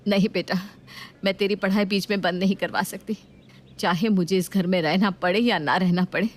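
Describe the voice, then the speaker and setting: A woman's voice: mid-range voice, steady tone, moderate pace, lightly acted. A woman voicing a proud, defiant widowed mother in a cartoon, speaking firmly and sharply. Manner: firm, sharp.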